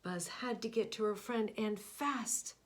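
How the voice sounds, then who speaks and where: A woman reads a children's picture book aloud at a slow, unhurried pace. Her delivery is expressive, with hushed, whispered asides and a middling pitch. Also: slight background noise. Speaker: storybook reader.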